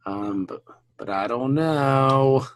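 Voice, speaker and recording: An American man chatting casually about sports as a podcast co-host, animated and slangy with plenty of swearing. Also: mid-range voice, slow.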